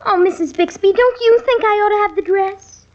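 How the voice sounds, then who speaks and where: An actress with an American accent playing a pleading, melodramatic teenage daughter in an old-time radio family comedy. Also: female voice, very high voice, normal speed, darkish tone. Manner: pleading, dramatic, indignant. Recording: muffled old radio broadcast recording.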